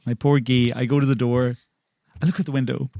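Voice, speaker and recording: A man's voice: medium pitch, little pitch movement, a little fast, vocal fry, dark tone, no echo, clean studio sound. A man improvising reactions in character as a player in a tabletop role-playing game.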